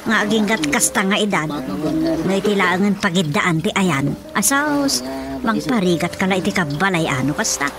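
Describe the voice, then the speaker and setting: A woman playing a chatty, fretful Filipino village woman in a radio drama comedy, expressive and exclamatory, with a northern Philippine accent. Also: mid-range voice, normal speed, close to an everyday voice. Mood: lamenting. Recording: music behind the dialogue.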